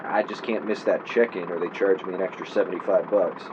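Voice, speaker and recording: A man's voice: deep voice, steady tone, highs cut off, noisy background, close to an everyday voice. An actor playing an earnest, inquisitive podcaster in his thirties in an audio drama, with a theatrical bent. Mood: inquisitive, polite. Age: 30s. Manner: measured, theatrical when narrating. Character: earnest 32-year-old male podcaster, inquisitive, theatrical narrator. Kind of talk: audio drama, moody scripted narration and interviewing.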